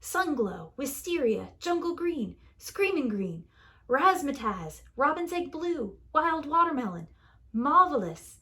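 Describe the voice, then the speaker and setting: A woman reading a picture book aloud like a preschool teacher, in a deliberate, expressive storytelling manner that leans on key words. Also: sits high. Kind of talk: children's story read-aloud.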